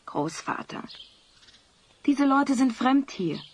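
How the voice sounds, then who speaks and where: A woman playing a gentle, respectful young mother in a German audio drama, with a soft, husky voice. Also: high voice, sing-song, slow pace, full character voice. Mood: apologetic.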